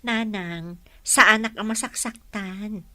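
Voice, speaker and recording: A woman's voice: medium pitch, slow pace, pushed and bright, dry recording. A woman playing a wronged but resilient young single mother in an Ilocano radio drama, sincere and emotional. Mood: sincere, hurt.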